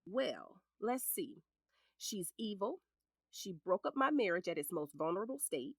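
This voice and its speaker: An actress with an American accent playing a sharp-tongued, protective middle-aged lawyer and scornful ex-wife in an audio drama, blunt and commanding. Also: female voice, medium pitch, sing-song, measured pace, no echo, clean studio sound. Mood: wry scorn.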